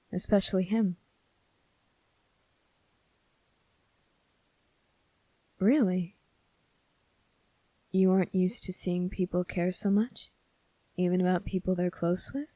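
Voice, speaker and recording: Woman as kind young male cadet for ASMR roleplay; relaxed dark tone, gentle and reassuring, very dry sound. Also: fairly low pitch, no room sound, rough recording. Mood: warm, caring. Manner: soft, gentle, reassuring, polite. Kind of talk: ASMR character roleplay, spoken to the listener.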